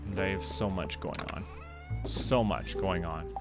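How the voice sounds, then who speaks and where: A man from Canada giving casual, relaxed gameplay commentary on a livestream, playfully muttering to himself and repeating phrases. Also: low-pitched, unhurried. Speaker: game livestreamer. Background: game music.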